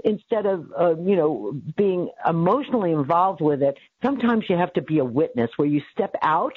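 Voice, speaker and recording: Husky low-voiced woman in her seventies. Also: animated, moderate pace, dry recording. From New York City. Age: 70s. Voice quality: low, bassy voice. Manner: rambling, with many fillers and frequent laughs. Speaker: guest, radio network founder. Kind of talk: casual interview over the phone. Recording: tinny phone line, dry.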